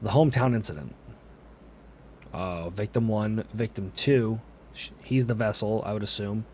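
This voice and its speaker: A man's voice: fairly low pitch, moderate pace, a little mellow, slight background noise. A man hosting a reaction video, giving casual, exclamatory commentary full of slang.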